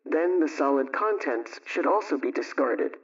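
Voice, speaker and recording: A man reading scripted household advice and recipes in a measured, instructional way, like a 1920s radio programme host. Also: a little high, animated. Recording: dull, distant vintage radio sound.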